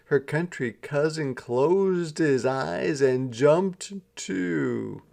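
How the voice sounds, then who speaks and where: A man narrates a children's storybook at an unhurried pace. His medium-pitched voice has a gravelly creak, and he phrases each line deliberately and dramatically. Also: darkish tone. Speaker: storyteller reading a children's book aloud. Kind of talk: expressive scripted storybook narration.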